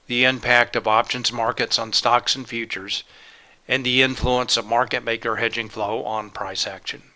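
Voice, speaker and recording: A man's voice: mid-range voice, bright, forceful tone, rough recording. A man presenting a live trading webinar in a methodical, step-by-step way, his voice a little congested from a cold. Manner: methodical, lists steps and items plainly. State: congested with a cold, sore throat.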